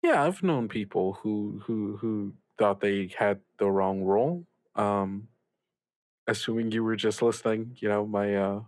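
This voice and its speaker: Man playing a halting, reassuring mentor, dry sound. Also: lowish voice, no echo, close to an everyday voice. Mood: halting reassurance. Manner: halting, rambling, stammering, gently advising. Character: reassuring older male radio mentor, upbeat, evasive about troubles. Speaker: student radio show host. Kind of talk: improvised actual-play audio drama, conversational.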